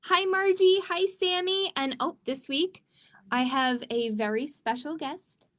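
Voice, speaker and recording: A woman's voice: high voice, a little slow, a bit bright. A woman hosting a livestreamed children's storytime as a librarian, reading aloud expressively and chatting to young viewers with questions.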